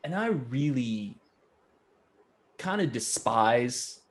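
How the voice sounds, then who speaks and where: A young man with a low voice, co-hosting a casual movie podcast and talking bluntly with a rambling, joking manner. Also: big pitch swings. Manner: rambling, blunt, quick to joke. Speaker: podcast co-host.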